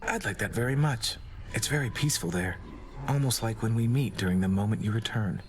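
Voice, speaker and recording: Guy playing a low voiced gloomy doom god, super polite n formal, romantic game dialogue, some background noise. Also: close to an everyday voice. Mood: tender, devoted. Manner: formal, courteous, measured. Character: courtly, melancholy male god of doom, gently devoted.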